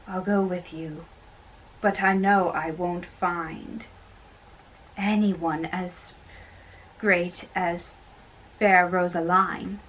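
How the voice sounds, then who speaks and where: Very slow young-sounding amateur play reader. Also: mid-range voice, fairly even intonation, a bit bright, a little hiss or hum, low-quality recording. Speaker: reader performing all parts. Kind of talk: amateur dramatic reading of a play.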